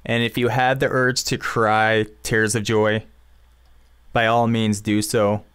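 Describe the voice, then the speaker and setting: A man's voice: lowish voice, little pitch movement, slow pace, a bit bright, clean tone. A young man giving a casual, informal music production tutorial as a screencast voice-over.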